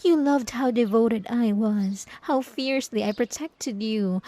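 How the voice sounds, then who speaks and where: A woman playing a possessive, obsessive ex-girlfriend in an ASMR roleplay, with drawn-out, cooing condescension and sweet menace. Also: fairly high pitch, mellow. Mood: wounded, insistent. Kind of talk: ASMR roleplay monologue to the listener.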